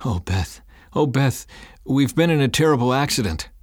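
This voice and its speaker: A man's voice: medium pitch, lively intonation, moderate pace, no room sound, clean studio sound, voice pushed up, lightly acted. A man voicing a distraught father in an audiobook, pleading with urgent emotion after a crash. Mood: distraught, apologetic.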